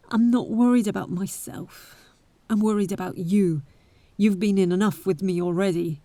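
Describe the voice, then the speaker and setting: A woman playing a bold, caring but wary adventurer heiress in an audio roleplay, speaking to the listener with breathy asides and hesitant pauses. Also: mid-range voice, fairly even intonation, dark tone, no echo, lightly acted. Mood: concerned.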